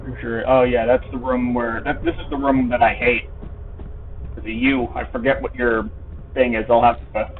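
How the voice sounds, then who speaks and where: A male streamer with a mid-pitched voice chats offhandedly to himself through a game playthrough, casual and playful. The sound is thin and phone-like, with faint noise. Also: little pitch movement, normal speed. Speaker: live streamer. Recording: tinny narrow-band stream audio, faint noise.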